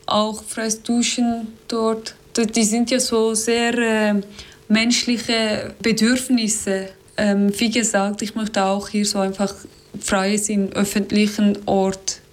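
Interviewee: non-native woman, slightly high voice, slow and halting, frequent fillers. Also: level tone. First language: not German. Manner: hesitant, many fillers and restarts.

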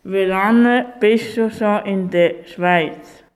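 An interviewer asking simple questions in a slow, deliberate way. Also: medium pitch. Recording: long reverb.

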